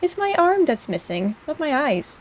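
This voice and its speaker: A woman's voice: sits high, very animated, normal speed, a little hiss or hum, rough recording. A woman playing a sarcastic but caring apothecary in an intimate personal-attention audio roleplay, with a playful, chiding manner. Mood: sardonic, teasing.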